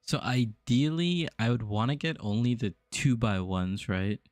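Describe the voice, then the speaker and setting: A man's voice: mid-range voice, measured pace, dry recording, studio quality. A young adult man from the US East Coast, musing aloud and answering chat during a casual game stream. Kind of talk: casual live-stream chat while playing.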